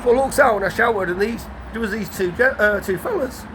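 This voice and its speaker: Croaky high-voiced man in his fifties. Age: 50s. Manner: rambling, guarded, halting with restarts. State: croaky from a cold. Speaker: vlogger. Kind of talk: casual story to camera. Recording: outdoor traffic noise behind.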